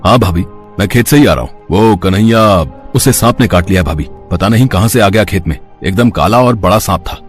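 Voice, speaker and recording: A man's voice: fairly low pitch, brisk, faint music behind, close to an everyday voice. A man voicing plain, earnest village characters in a dramatized moral story. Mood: urgent. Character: village men: mild husband, messenger, doctor.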